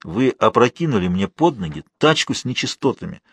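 A stern, proud nobleman in a historical audiobook, played by a male narrator. He speaks with commanding scorn and indignation in a husky voice, recorded dry and close. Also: mid-range voice, big pitch swings, brisk, fairly bright tone, no echo, exaggerated voice. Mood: cold disgust.

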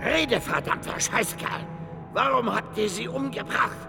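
An actor playing a brutal, menacing interrogator with a strained, creaky voice in a radio drama. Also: male voice, fairly high pitch, lively intonation, takes it slowly, heavily acted. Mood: furious. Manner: menacing, swearing. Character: furious brutal interrogator threatening a captive. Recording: long reverb, music behind.

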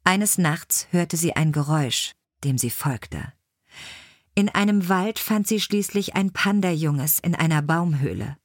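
A woman's voice: on the low side, animated, measured pace, dry recording, studio quality. A woman reading scripted biographical stories as an audiobook narrator.

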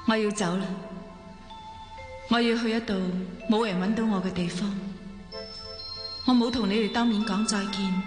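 A woman's voice: medium pitch, fairly even intonation, moderate pace, long pauses, close to an everyday voice. An actress with a Hong Kong Cantonese accent playing a sorrowful young woman, firm yet tender, in an earnest radio drama style. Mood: wistful. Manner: earnest, emotional. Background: faint music.

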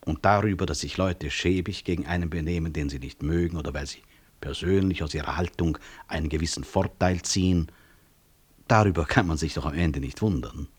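An actor playing a dignified doctor and professor in a German radio play, dryly ironic and measured. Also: male voice, rumbling low, husky. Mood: wry, reflective. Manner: measured, dry irony. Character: wry, dignified professor and doctor, unbowed after prison.

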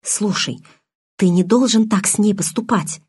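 A woman plays a peacemaking young woman in an audiobook. She is quiet, firm and reasonable, with a slightly husky, middle-pitched voice in a dry recording. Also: unhurried, no echo. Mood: calm, firm. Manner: quiet, even-tempered, reasonable. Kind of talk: dramatised audiobook reading.

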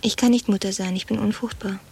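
An actress in a radio play playing a newly appointed woman director, composed, measured and coolly authoritative. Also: mid-range voice, steady tone, gravelly, breathy edge. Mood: calm, intimate. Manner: measured, controlled, quietly commanding.

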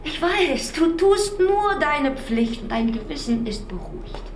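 Anguished idealist wife, slow and expressive. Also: female voice, sits high, roomy sound, a little hiss or hum, full character voice. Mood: wounded. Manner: fervent, halting, dropping into breathy near-whispers. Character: idealistic, anguished revolutionary wife defying her husband. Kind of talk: theatrical German stage drama dialogue.

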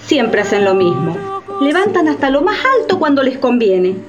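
A woman's voice: sits high, sing-song, moderate pace, music in the background. A woman playing a bitter, impassioned character in radio theatre, speaking emphatically against the powerful. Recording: dull sound with faint noise.

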